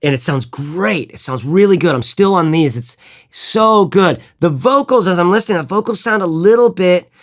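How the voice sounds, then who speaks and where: A man with a fairly high-pitched voice talks through his gear review in a relaxed, off-the-cuff way. He rambles, trips over his own restarts and drops the odd swear word. Now and then he hums a bit of music to show what he means. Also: normal speed. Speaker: headphone reviewer. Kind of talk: casual gear review chat.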